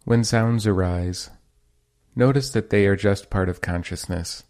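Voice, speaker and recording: A man leading a guided meditation as a teacher, speaking in a calm, measured and gently instructive way. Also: fairly low pitch.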